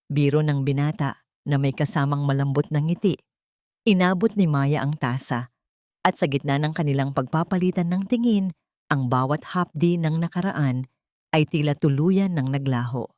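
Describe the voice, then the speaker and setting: Low-voiced Filipino woman narrating, dry sound. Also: normal speed, a little mellow, no echo. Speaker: storyteller, narrator. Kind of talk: scripted dramatic story narration.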